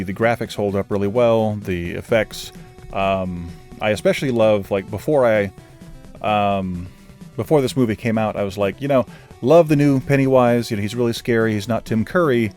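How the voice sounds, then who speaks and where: A man giving a casual, rambling film review, self-deprecating and loose, with plenty of fillers. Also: on the low side. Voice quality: says his own voice is normally monotone. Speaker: movie reviewer.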